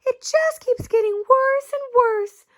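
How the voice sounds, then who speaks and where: A woman voicing a distraught mother in a storybook, with a high, wailing voice. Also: slow pace. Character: frantic, tearful mother.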